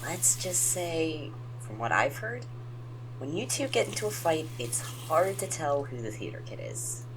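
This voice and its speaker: Mom-friend role, female actor: low voice, animated, coaxing and practical, audio drama over audible background hum. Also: measured pace, low-quality recording. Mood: reassuring, teasing. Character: reassuring, gently teasing mom-friend, practical and organized.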